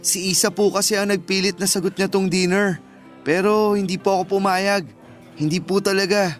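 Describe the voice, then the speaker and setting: Male actor as earnest young man, Filipino accent, slightly high voice, polite, gentle, radio drama, faint music bed. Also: level tone, moderate pace, lightly acted. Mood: polite, sheepish.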